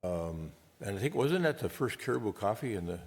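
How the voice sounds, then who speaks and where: A man chairing a public meeting, talking in a relaxed, conversational way with halting restarts. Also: lowish voice. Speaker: board chair.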